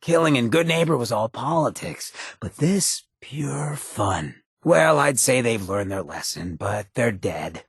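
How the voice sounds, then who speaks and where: An actor voicing a cocky, roguish, streetwise ghoul companion in a video game, with a very raspy, gravelly voice and a sly, laid-back swagger. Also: male voice, mid-range voice, lively intonation, a little slow, hardly any pauses, no room sound, clean studio sound, exaggerated voice. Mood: gleeful swagger.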